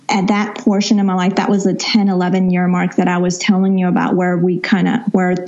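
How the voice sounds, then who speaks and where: A woman's voice: medium pitch, warm tone, highs cut off. A woman speaking as a coach being interviewed, explaining casually in a rambling way and often rephrasing herself. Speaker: podcast guest, financial counselor and money coach.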